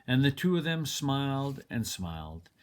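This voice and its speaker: A man reading a children's story aloud in an expressive narrating style. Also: medium pitch, measured pace. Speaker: storybook reader.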